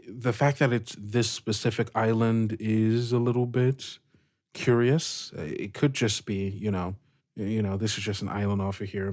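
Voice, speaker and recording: Male actual-play podcaster, lowish voice, measured and slightly hesitant, briefing a group in character. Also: a little mellow. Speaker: tabletop role-play podcast player or game master. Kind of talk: improvised in-character role-play.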